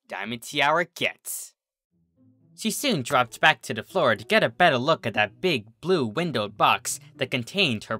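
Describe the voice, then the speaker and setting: Bright, expressive story reader with lively pitch. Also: no room sound. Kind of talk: scripted story narration of a comedy fan fiction.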